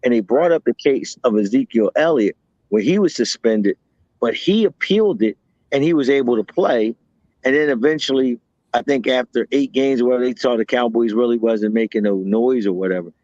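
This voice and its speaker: A man co-hosting a podcast, chatting casually about football, talking in quick bursts and repeating himself. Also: mid-range voice, no echo, lo-fi sound. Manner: animated, talks in bursts with repeats. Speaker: podcast co-host. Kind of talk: casual sports chat.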